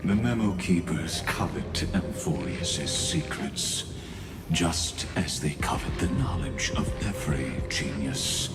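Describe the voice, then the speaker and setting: A man playing a cold, calculating villain in a video game, speaking in a measured, ominous and deliberate manner. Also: very deep voice. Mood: coldly condescending. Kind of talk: scripted game voice acting. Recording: echoing voice over game music.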